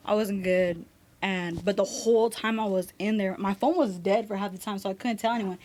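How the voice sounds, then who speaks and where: A woman's voice: mid-range voice, fairly even intonation, a little fast, darkish tone, slight background noise. A young woman tattoo artist chatting casually on a podcast, telling stories candidly and swearing freely. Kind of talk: casual chat.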